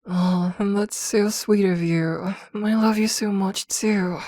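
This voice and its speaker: A woman playing a possessive, protective witch girlfriend in an intimate ASMR roleplay, doting yet jealous, with hesitant pauses. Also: mid-range voice, fairly even intonation, mellow, no room sound, clean studio sound. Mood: tender, curious.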